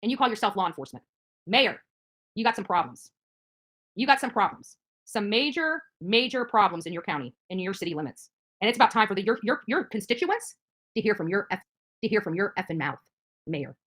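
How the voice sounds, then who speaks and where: A woman's voice: medium pitch, very animated, fairly quick, a bit bright. A middle-aged woman giving an angry, confrontational rant straight to the camera, indignant and repeating her points. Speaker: activist streamer.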